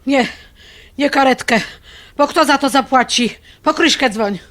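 A woman's voice: sits high, unhurried, fairly bright tone, soft background music. A woman playing a manipulative, melodramatic mother of a grown son in an audio drama, scolding and wheedling theatrically. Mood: theatrical swooning.

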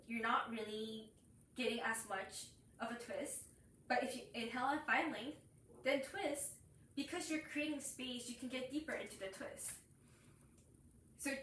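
A woman's voice: fairly high pitch, fairly bright tone, a little hiss or hum. Young woman teaching yoga in echoey room. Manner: explains while demonstrating poses, cueing breaths. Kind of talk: instructional yoga explanation. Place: small room with curtains and yoga mat, indoors. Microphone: far camera. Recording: echoey room, far from mic.

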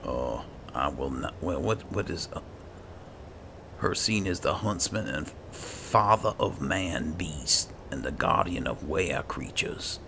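A man's voice: very deep voice, lively intonation, takes it slowly. A man giving casual roleplay commentary on a video game, muttering to himself in short bursts. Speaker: gamer, video host. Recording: clearly noisy, game sound behind.

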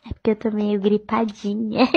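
A woman speaking slowly in a playful, drawn-out way. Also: mid-range voice, fairly bright tone, husky.

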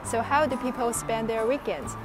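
Woman vlog host, fairly high voice, cheerful and eager, music behind. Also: normal speed. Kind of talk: upbeat presenting to camera. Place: outdoors at a street fair.

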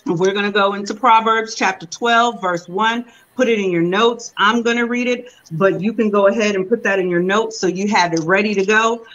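A young woman preaches to her congregation over a video call, her voice coming through a webcam with slightly dull, muffled sound. She slows down to read scripture aloud, then explains it in loose, conversational preaching talk. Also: medium pitch, a bit bright. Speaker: reverend preaching. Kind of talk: sermon. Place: room at home.

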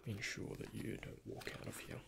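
A young man speaking in a breathy, hushed ASMR whisper, gently and unhurriedly, close to the microphone as in a doctor roleplay. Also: moderate pace. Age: young adult. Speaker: ASMR creator playing a doctor. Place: indoors, close before a dark backdrop.

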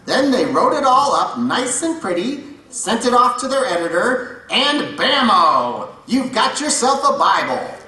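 Cocky, dim puppet know-it-all played by a man, smug comic banter, bright forceful voice, roomy distant pickup. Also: very high-pitched, sing-song, measured pace, exaggerated voice. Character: Bob, cocky dim-witted male puppet answer man. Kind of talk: comic puppet show sketch. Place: indoor hall puppet stage, camera at a distance.

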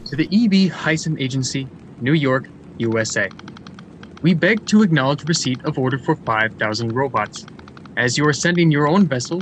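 An actor playing a brisk, eager factory general manager in a radio drama, formal and articulate. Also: male voice, lightly acted. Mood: formal, dictating. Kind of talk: stage-style radio drama acting. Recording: noisy radio drama recording, steady rumble.

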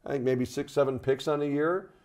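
An older man discusses sports as a play-by-play commentator in a TV studio. His voice sits at a middle pitch with a soft, mellow tone. He talks conversationally, doubling back on words as he builds a point. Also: brisk.

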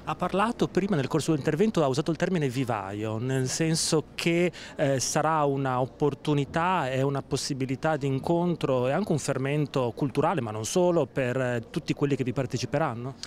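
A man's voice: mid-range voice, brisk, few pauses, a little hiss or hum. A man in his thirties interviewing a guest on camera outdoors with a handheld reporter microphone. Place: outdoor courtyard.

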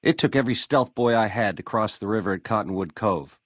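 An actor playing a slick, cocky American casino gangster boss in a video game, swaggering through retro lounge slang. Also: male voice, lowish voice, fairly quick, no room sound, clean studio sound, close to an everyday voice. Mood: boastful, reminiscing. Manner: swaggering retro lounge-lizard slang, calls people baby. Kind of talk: video game character dialogue.

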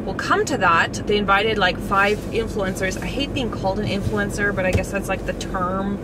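A woman's voice: medium pitch, fairly even intonation, normal speed. Chatty woman vlogger in noisy surroundings. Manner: informal, chatty, frequent "like". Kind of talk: casual vlog chat. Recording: clearly noisy, car and outdoor ambience.

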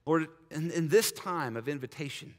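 Pastor guy in his 30s, bit high voice, pleading then praying quiet, big church echo. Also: normal speed. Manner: earnest, urging, drops soft in prayer. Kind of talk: sermon invitation and prayer. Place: large church sanctuary. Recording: church hall reverb.

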